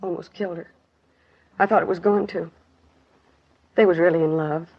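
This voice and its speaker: A woman's voice: on the low side, steady tone, normal speed. Grieving working-class woman witness, 1950s radio drama, plain clipped delivery, muffled vintage sound. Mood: bitter, sad. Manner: plain clipped sentences, colloquial grammar. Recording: dull 1950s radio recording.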